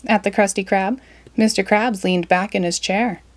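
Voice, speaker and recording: A woman's voice: mid-range voice, normal speed. Woman reading a storybook aloud. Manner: animated and expressive. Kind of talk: read-aloud storybook narration.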